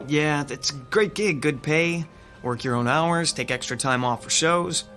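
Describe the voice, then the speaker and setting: A man's voice: fairly high pitch, unhurried, fairly bright tone, soft background music. An actor playing a frustrated young theater techie who has just lost his job, talking wearily. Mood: weary. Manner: weary, grumbling.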